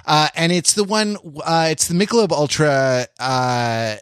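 A man hosting a podcast, talking casually in a halting, searching way. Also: fairly high pitch, expressive, fairly bright tone, clear, resonant tone, no room sound, clean studio sound. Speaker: podcast host. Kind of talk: casual discussion.

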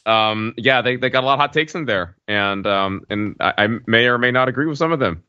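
A man's voice: medium pitch, a little fast, sharp tone, clear, resonant tone, dry recording. An American man from Alabama on a podcast panel, chatting casually and explaining things with conversational asides. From Alabama, United States. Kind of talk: casual chat, anecdotal explaining. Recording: slightly dull podcast audio.

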